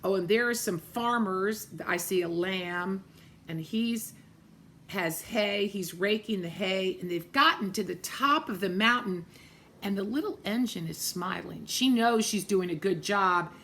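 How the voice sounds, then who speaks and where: A woman reading a children's picture book aloud, gently pointing out the pictures. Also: medium pitch, measured pace, a little hiss or hum. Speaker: storybook reader.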